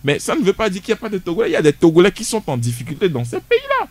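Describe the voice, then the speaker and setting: A man's voice: sits high, wildly swooping pitch, racing, darkish tone, no echo. A man, a guest on a radio discussion show, speaking emphatically and often repeating words as he restarts. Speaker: studio guest.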